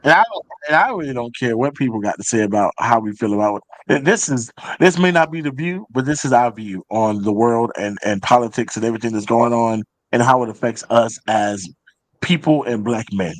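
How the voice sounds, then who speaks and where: A man's voice: medium pitch, a little fast, dry recording, rough recording. A man in an opinionated, casual political chat, talking insistently and repeating words as he pushes to make his point.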